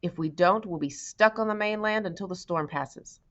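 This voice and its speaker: A woman's voice: fairly low pitch, moderate pace, a bit bright, dull audio, natural voice. A woman reading a story as a practical, obliging, plainspoken man who flies a small seaplane.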